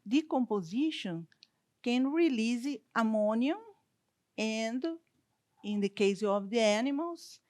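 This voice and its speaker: Woman, Brazilian Portuguese accent, unhurried delivery, dry close sound, conference lecture. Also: on the high side, no room sound. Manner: halting, careful non-native English with restarts. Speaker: university plant scientist. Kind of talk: conference talk with slides.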